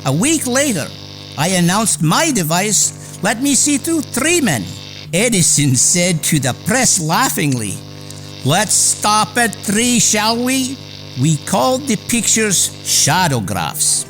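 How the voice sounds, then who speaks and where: A courteous, proud inventor in a radio play. His delivery is formal and old-fashioned, swinging widely in pitch, with music playing behind him. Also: sing-song, slow, a bit bright, exaggerated voice, poor audio. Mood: proud, reflective. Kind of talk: radio theater drama acting.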